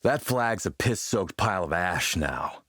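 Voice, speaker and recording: A menacing military warlord from an action game, a man who speaks slowly and with calculated polish. His commanding voice sits on the low side and is recorded close and dry. He is suave and threatening. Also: lively intonation, a bit bright, no echo, heavily acted. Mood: measured, businesslike. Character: smooth, menacing military warlord and arms dealer. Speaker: villain character in an action role-playing game. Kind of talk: acted video game dialogue.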